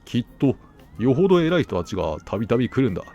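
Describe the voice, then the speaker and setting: A man voicing a gullible, self-assured gentleman hunter in a storybook, musing aloud conversationally. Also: mid-range voice, big pitch swings, quick pace, highs cut off, soft background music. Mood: surprised, impressed. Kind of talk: storybook dialogue acting.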